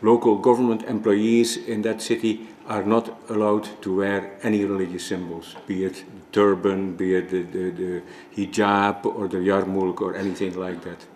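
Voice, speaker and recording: Man from the Netherlands presenting at a conference, measured delivery with restarts; roomy hall sound. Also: low voice, level tone, darkish tone, a little hiss or hum. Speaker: conference speaker. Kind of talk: formal presentation. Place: conference room. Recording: echoey hall with faint ambience.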